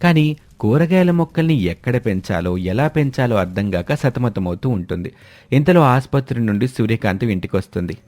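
A man narrating an animated moral story in a steady storytelling manner. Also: medium pitch, moderate pace.

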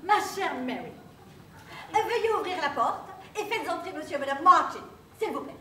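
A woman's voice: very high voice, expressive, normal speed, pushed and bright, slight background noise, full character voice. An actress playing a fussy, peevish hostess who complains emphatically to her maid on a stage. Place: theatre stage. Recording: echoey stage from far off.

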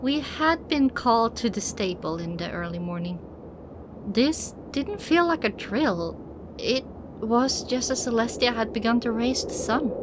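A woman's voice: fairly high pitch, noisy background. A woman reading a scripted first-person story as an audiobook narrator. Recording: loud background music under the voice. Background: loud music.

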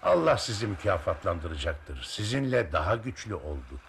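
A pious cartoon villager. The voice sits on the low side with a gravelly fry, and music plays behind it. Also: male voice, big pitch swings, normal speed, full character voice. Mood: earnest. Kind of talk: dubbed cartoon acting.

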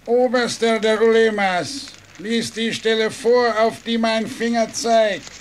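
A merciless emir in a radio play, with a strikingly high voice. He pronounces judgement slowly and ceremoniously, with faint noise behind him. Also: fairly even intonation. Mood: solemn menace. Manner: ceremonious, imperious.

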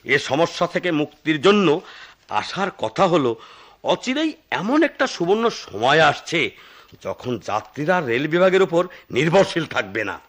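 A man's voice: on the high side, big pitch swings, normal speed, a bit bright. An actor playing an eccentric, talkative retired railway switchman in a radio drama, with a theatrical storyteller's manner. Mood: grandly explaining.

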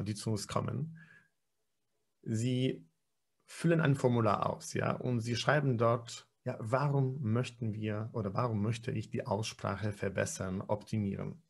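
A man's voice: medium pitch, creaky, husky. A man in his forties giving an online pronunciation lecture over a webcam, explaining with frequent fillers and self-corrections. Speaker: pronunciation trainer. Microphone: webcam video call.

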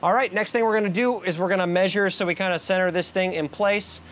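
A man's voice: high voice, steady tone, fast, fairly bright tone, slight background noise. A man in his thirties hosting a casual how-to video, chatty and joking, filmed in a garage and outside a house. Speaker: DIY video host. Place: garage and outdoors beside a house.

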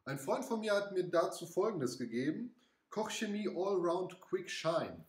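A young man hosting a casual product review in his home garage, relaxed and chatty with frequent fillers. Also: high-pitched, a little slow, breathy edge. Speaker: car care channel host. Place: small home garage, indoors.

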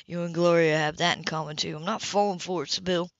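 A woman's voice: lowish voice, fairly even intonation, quick pace, dry recording, close to an everyday voice. A woman reading the part of a furious man who accuses a woman of lying, in a cold, clipped way. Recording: dull lo-fi recording.

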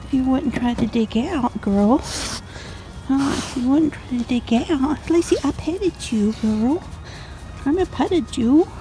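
An older woman talking to her dogs and chickens while doing morning chores in the backyard, filming on a handheld phone. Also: background noise. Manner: talks sweetly to dogs and chickens in a high sing-song. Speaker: vlogger. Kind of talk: casual narration while doing farm chores. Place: backyard and shed at night, outdoors. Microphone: handheld phone camera.

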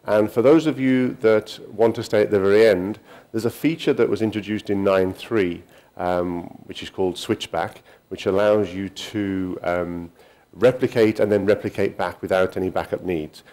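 Halting middle-aged man giving a tech talk. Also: lowish voice, fairly even intonation, darkish tone. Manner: halting, many ums and restarts. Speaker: conference speaker. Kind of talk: technical conference talk. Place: conference hall.

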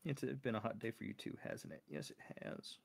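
A woman with an exceptionally low voice chats casually as a livestream co-host. Her intonation is lively and moves up and down a lot. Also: a little fast, vocal fry, husky.

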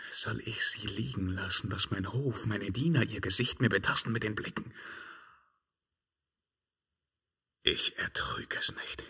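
An actor playing a tormented, imperious emperor in a 1950s German radio drama, declaiming verse solemnly. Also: male voice, on the low side, pushed and bright, breathy edge, heavily acted. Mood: anguished, brooding. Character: tormented, imperious emperor haunted by guilt, breaking free of a spell.

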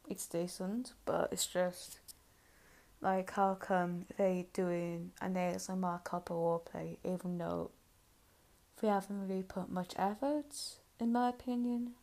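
A woman's voice: mid-range voice, mellow. A woman giving casual, hesitant reaction commentary as a host, rambling and mildly critical. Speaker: video reviewer and host.

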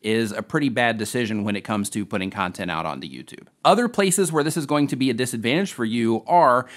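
A man in his forties, hosting a scripted question-and-answer tutorial to camera in a small dark studio. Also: mid-range voice, brisk. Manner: upbeat, lively, emphatic explainer. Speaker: host.

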